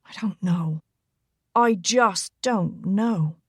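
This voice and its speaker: A woman's voice: medium pitch, drawn-out words, husky, no room sound. Woman voicing a big Irishman, thick Irish brogue, teasing and charming, dry close read. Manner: playful thick brogue, lilting.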